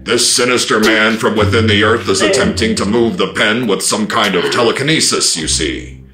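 A man narrating in the style of an old radio serial, with dramatic, measured announcer delivery. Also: fairly low pitch. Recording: echoey, loud music underneath.

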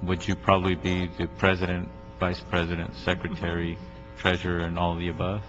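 A man questioning someone as a council member at a public meeting, in halting phrases. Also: low-pitched, monotone, fairly bright tone, soft background music. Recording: muffled meeting sound with faint hum.

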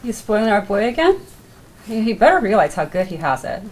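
A woman's voice: medium pitch, big pitch swings, a little fast, a little mellow, poor audio. A woman playing a teasing police officer, wry and casual, in a script read. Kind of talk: table read of a film script.

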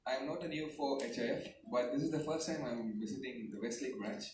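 A man's voice: medium pitch, a little fast. A man with an Indian accent, informally introducing himself to a congregation as a visitor. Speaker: church visitor. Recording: echoey hall, distant, noisy crowd.

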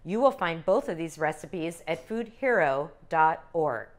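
An older woman calmly demonstrating recipes step by step as a cooking instructor in a teaching kitchen. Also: lowish voice, unhurried. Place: home-style teaching kitchen.

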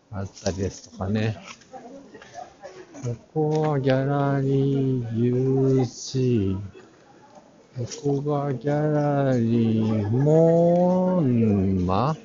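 A man narrating a casual walk-through, muttering short fragments half to himself through a face mask. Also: medium pitch. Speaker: vlog host touring an art fair. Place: large crowded indoor exhibition hall. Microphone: handheld camera at arm's length. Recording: dull sound in a busy hall. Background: crowd murmur.

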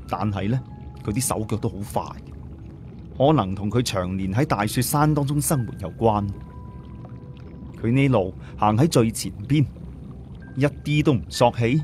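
A man's voice: fairly low pitch, darkish tone, slight background noise. A man with a Cantonese accent narrating an audiobook story in a colloquial way, with conversational asides.

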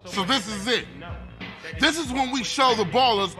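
A man's voice: extremely high pitch, expressive, normal speed, sharp tone, heavily acted. A man playing a fiery street gang leader in a video game cutscene, rallying his crew in commanding, slangy talk. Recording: music playing behind.

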